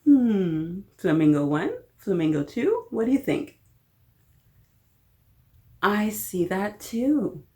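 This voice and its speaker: A woman hosting a children's story time, reading a picture book aloud in a playful, expressive way and talking directly to her young listeners. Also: medium pitch, slow, long pauses. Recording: roomy, echoing sound.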